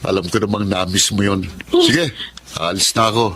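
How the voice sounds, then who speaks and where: A man's voice: on the low side, big pitch swings, normal speed, heavy background noise. A Filipino man acting an arrogant, menacing former lover in a radio drama, with a smug, taunting manner. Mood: smug, coaxing. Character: arrogant, menacing former lover returning to claim his child.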